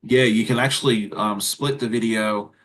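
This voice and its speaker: An Australian man, a guest, talks quickly in a casual livestream chat with lots of fillers and restarts. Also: on the low side, steady tone, a bit bright. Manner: fast-talking, chatty, many fillers and restarts. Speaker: guest content creator.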